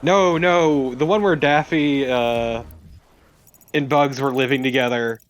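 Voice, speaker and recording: A man's voice: medium pitch, steady tone, takes it slowly. A man casually co-commentating on gameplay, exclamatory and prone to swearing. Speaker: let's play co-commentator. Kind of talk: casual chat over gameplay.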